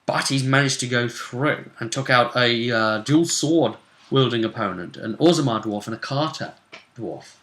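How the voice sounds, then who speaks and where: A man's voice: medium pitch, normal speed. A man hosting a tabletop role-play show, chatting casually through a game recap and throwing out rhetorical questions. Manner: animated, conversational, asks rhetorical questions.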